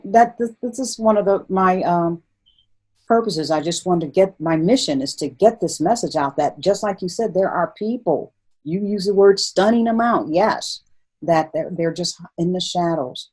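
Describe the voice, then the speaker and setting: A woman with a slightly low voice hosts a casual interview. She speaks earnestly, often repeating a phrase to drive it home. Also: normal speed, a little mellow, husky. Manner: earnest, repeating words for emphasis. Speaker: host, interviewer.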